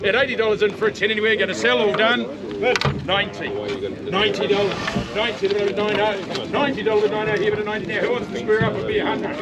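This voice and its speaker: A man with a New Zealand accent working as a livestock auctioneer, rattling off bids in a rapid, repetitive chant and coaxing buyers at an outdoor saleyard. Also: high voice, steady tone. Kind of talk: auction bid calling. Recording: loud crowd and livestock noise at an outdoor sale.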